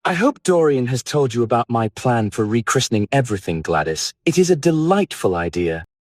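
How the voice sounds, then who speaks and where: A man's voice: mid-range voice, sing-song, a little fast, no echo, clean studio sound, pitched above the performer's own voice, close to an everyday voice. A man voicing a witty, languid English aristocrat in an audiobook, teasing and aphoristic in a theatrical way. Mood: amused, teasing. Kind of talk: audiobook character dialogue.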